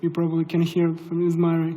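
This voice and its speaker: A man's voice: a little high, completely flat delivery, brisk, warm tone, poor audio. Young man with a Russian accent, casual and hesitant, presenting at a tech conference. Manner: casual, hesitant, many fillers. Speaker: conference speaker. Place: conference hall.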